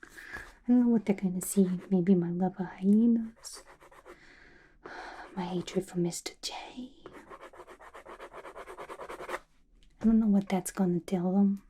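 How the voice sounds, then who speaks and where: A woman's voice: mid-range voice, fairly even intonation, moderate pace, pauses often, dark tone, a little hiss or hum, close to an everyday voice. A woman playing a playful, unhinged, gossipy female villain inmate in a chatty, conspiratorial whispered ASMR roleplay. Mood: chatty, musing.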